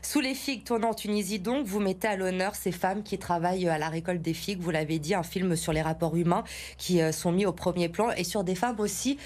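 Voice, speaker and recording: A woman's voice: on the low side, brisk, a little mellow. A woman hosting a broadcast interview, putting questions to her guest and summing up their work. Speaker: television interviewer, host.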